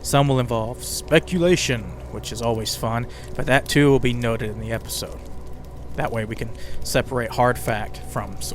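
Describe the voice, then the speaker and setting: Guy doing podcast narration, mid pitch, chatty scripted read, ambient music behind him. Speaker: podcast host and writer. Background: ambient music bed with crackling fire sounds.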